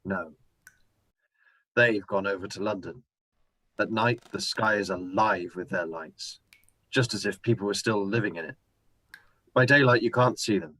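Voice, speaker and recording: A man's voice: fairly low pitch, unhurried, long pauses, fairly bright tone, no room sound, rough recording. An actor playing a hardened ex-soldier who has survived an invasion, talking in a brooding, intense way as he lays out his plans in a radio drama. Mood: grim. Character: hardened male ex-soldier survivor, scheming and grim. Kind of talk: amateur radio drama acting. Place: home room, recorded remotely.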